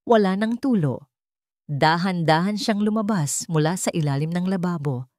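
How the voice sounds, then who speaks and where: A woman with a Filipino accent reads a dramatic story as its narrator. Her voice sits slightly low. The sound is dry, with almost no room in it. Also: moderate pace, darkish tone, no room sound.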